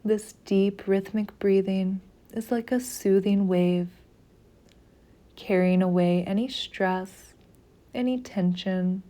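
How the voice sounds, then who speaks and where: Slow, mellow woman guiding meditation. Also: medium pitch, steady tone. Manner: soothing, measured, with long pauses. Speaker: meditation guide. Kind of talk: slow guided meditation narration.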